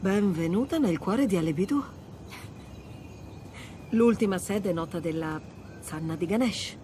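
A woman's voice: on the low side, expressive, measured pace, warm tone, a little hiss or hum. An actress playing a wry, adventurous treasure hunter in her thirties, teasing and understated, in naturalistic video game dialogue. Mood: awed.

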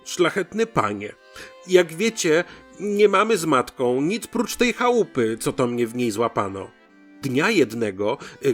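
A man voicing a humble, naive peasant in a folk tale, rambling hesitantly in folksy speech with little hums. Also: fairly high pitch. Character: humble, naive young peasant man pleading his story.

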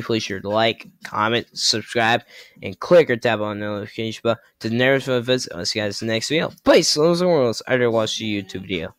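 A man's voice: on the low side, a bit bright, dry recording. A teenage boy YouTuber chatting casually to camera on a headset mic, often stalling and muttering as he thinks. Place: bedroom. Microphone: gaming headset.

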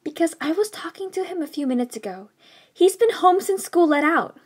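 A woman's voice: very high voice, animated, brisk. A woman voicing a confident, sly teenage sister, assertive and teasing, in an audiobook drama. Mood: smug. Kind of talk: audiobook character acting.

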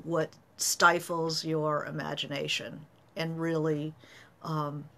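Middle-aged novelist, casual webcam talk from a study; bright, slightly gravelly voice, thinks aloud. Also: female voice, sits low. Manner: thoughtful, gestures a lot, many fillers. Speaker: novelist answering writing questions. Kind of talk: casual live-stream talk. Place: home study with bookshelves.

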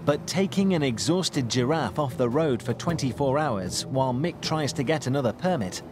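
A man's voice: mid-range voice, lively intonation, moderate pace. A man reading scripted, suspenseful television documentary narration in short punchy phrases. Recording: voice-over over loud music. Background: music.

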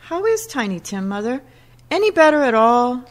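Gentle, affectionate grown daughter, female actor, radio drama, raised pitch. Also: big pitch swings, moderate pace. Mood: concerned. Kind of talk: radio play acting.

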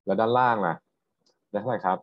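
Low-voiced male lecturer, roomy dull recording. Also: fast. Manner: explanatory, checks understanding with frequent tag questions. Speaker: university lecturer. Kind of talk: technical lecture with questions to students.

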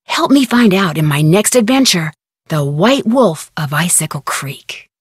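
A woman's voice: on the low side, sing-song, measured pace, slightly rough, no echo, clean studio sound, heavily acted. A woman voicing a plucky, inquisitive young amateur detective with an American accent in an adventure game, chipper and conversational. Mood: inviting, intriguing. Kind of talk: scripted adventure game dialogue.

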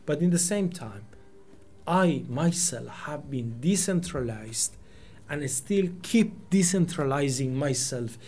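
Slow, thoughtful man with a Persian first language. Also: a little high, warm tone, a little hiss or hum. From Iran. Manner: thoughtful, searching for words, many restarts and fillers. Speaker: poet giving a talk. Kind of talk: reflective lecture. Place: small meeting room indoors. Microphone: table microphone.

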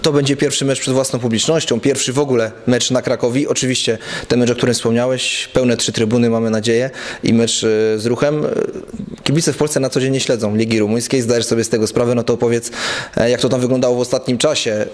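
A man's voice: medium pitch, steady tone, quick pace. A man working as a club television interviewer, putting questions to a sports guest.

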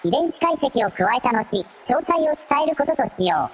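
A woman's voice: a little high, very animated, normal speed, husky. A woman playing a coolly analytical assistant creature in a radio drama, reporting in clipped, formal phrases. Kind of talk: Japanese radio drama acting.

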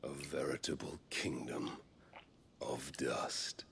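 An actor playing a cruel, mocking older male warlord in a video game cutscene, speaking in a deep gravelly voice with slow, taunting, theatrical delivery. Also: fairly even intonation, a bit bright, slight background noise, full character voice.

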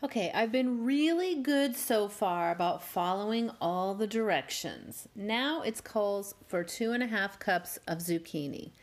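Slow, easygoing woman talking through a bake. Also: mid-range voice, lively intonation. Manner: unhurried, gently wry asides. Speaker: home cooking channel host. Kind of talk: calm step-by-step baking instruction. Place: home kitchen.

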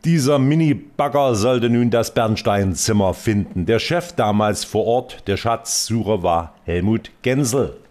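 A man's voice: on the low side, unhurried, hardly any pauses, a little mellow, a little hiss or hum. A man narrating a scripted regional TV news report as the reporter.

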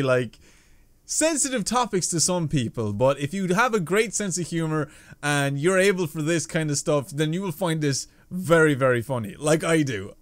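Enthusiastic young man, fairly high voice. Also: no room sound. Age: 20s. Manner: enthusiastic, slangy. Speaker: reaction video host. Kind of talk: casual reaction commentary. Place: small bedroom indoors.

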